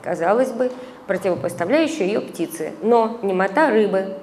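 Middle-aged woman lecturer at a lectern mic, measured academic reading, long hall reverb, faint hiss. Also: medium pitch, animated, a little mellow. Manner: measured reading with hesitant fillers, recites verse. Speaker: conference speaker, translator. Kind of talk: reading an academic paper aloud. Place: conference hall, indoors. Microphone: stand microphone at a lectern.

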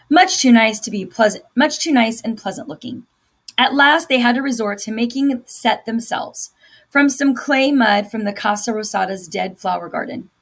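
A woman's voice: on the high side, fairly bright tone. A middle-aged woman reading a children's novel aloud as a teacher does for students. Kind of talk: scripted narration, children's novel read-aloud.

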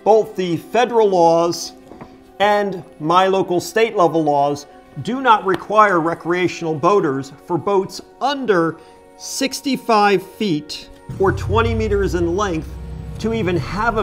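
A man's voice: sits high, measured pace, soft background music. A middle-aged American man explaining things to camera as a video host, emphatically and with rhetorical questions, indoors at home.

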